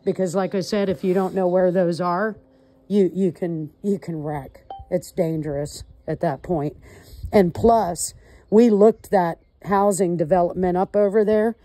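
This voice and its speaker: Woman on a recorded call giving a report, talks slow n deliberate, mellow voice, stresses her points. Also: fairly low pitch, fairly even intonation. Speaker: caller reporting to law enforcement. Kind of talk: recorded phone conversation, recounting events.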